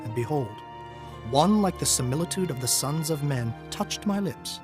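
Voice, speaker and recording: A man playing an awed prophet who recounts a holy vision in a solemn, measured dramatized scripture narration. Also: on the high side, animated, natural voice. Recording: music behind the voice.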